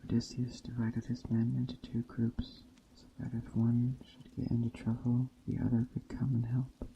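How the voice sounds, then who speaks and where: A man reading a story aloud in a soft ASMR style, slowly and deliberately, in a hushed bedtime storytelling manner. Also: mid-range voice, completely flat delivery, vocal fry, background noise. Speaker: storyteller, narrator. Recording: dull muffled sound, faint background ambience. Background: soft nature ambience.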